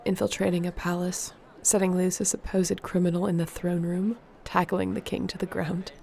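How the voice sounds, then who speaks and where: A woman playing an earnest, determined fantasy sorceress in an intimate audio roleplay, speaking in a measured, softly formal way. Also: fairly low pitch, little pitch movement, creaky, a little hiss or hum. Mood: solemn.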